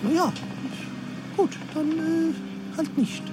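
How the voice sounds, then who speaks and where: Comic audio drama helper: very high, swooping, hesitant, music behind. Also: female voice, slow. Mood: deflated, hesitant.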